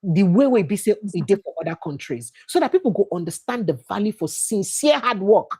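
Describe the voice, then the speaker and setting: A Nigerian woman leads a casual livestream discussion in a slightly husky voice. Her pitch moves a lot as she makes her points, and she mixes pidgin phrases into her English. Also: sing-song, brisk, dark tone. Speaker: livestream host. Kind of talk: casual live panel chat.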